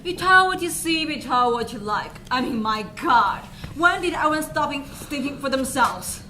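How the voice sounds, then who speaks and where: A woman's voice: high voice, expressive, normal speed. A woman acting an exasperated, cynical ticket queuer in an amateur stage comedy. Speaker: student actor in a school play. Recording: noisy stage recording.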